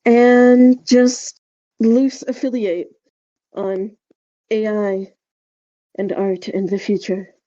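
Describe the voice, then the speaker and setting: A woman's voice: mid-range voice, flat delivery, drawn-out words, long pauses, mellow, dry recording. A woman co-hosting a podcast, chatting casually in an informal, rambling way. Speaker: podcast co-host. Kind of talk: casual conversational podcast chat. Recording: slightly dull, dry recording.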